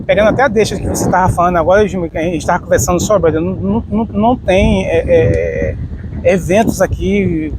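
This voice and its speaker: A man's voice: high-pitched, fast, noisy background. A middle-aged Brazilian man hosting a podcast, chatting casually and rambling a bit as he repeats himself. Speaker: podcast host. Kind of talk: casual interview chat.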